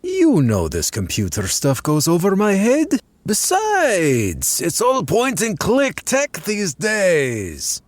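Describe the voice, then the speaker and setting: A man in his thirties playing a gruff, laid-back armored pilot who talks offhandedly. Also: sits high, wildly swooping pitch, slow pace, no room sound, full character voice. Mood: offhand. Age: 30s. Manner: casual, offhand.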